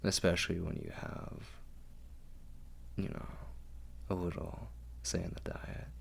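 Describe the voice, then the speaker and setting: A man acts out a refined vampire lord for an ASMR roleplay. He is very low-voiced and gravelly, doting yet fastidious. His formal words come slowly and softly, often sinking toward a whisper. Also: little pitch movement, heavily acted. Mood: wry, musing. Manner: formal, measured, softly coaxing. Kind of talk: ASMR roleplay, intimate scripted monologue.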